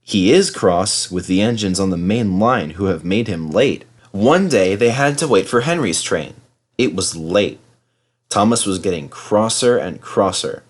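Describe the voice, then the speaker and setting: Animated man reading a children's story. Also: lowish voice, unhurried. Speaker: storybook reader. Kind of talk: scripted children's story narration.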